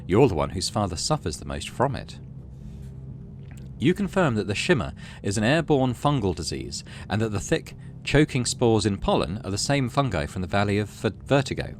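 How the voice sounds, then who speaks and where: A man hosting a gaming playthrough, mixing casual commentary with read-aloud story narration. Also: on the low side. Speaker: gaming channel host. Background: game music.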